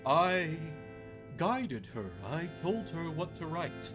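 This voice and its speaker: Player putting on a tired regretful priest guy, formal n grave, slow, music behind. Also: mid-range voice, big pitch swings. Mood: confessing. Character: weary, regretful male priest, grave and soothing. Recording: music under the voice.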